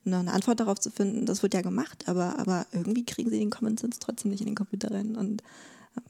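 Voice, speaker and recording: A woman speaking as an expert guest in a conversational interview, explaining things haltingly and repeating words as she thinks. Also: medium pitch, steady tone, gravelly, warm tone, husky. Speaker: podcast guest, legal expert.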